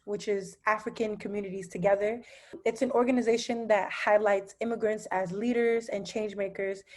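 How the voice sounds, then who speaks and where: A woman's voice: medium pitch, darkish tone. A young woman hosting a podcast interview, introducing herself and asking short questions.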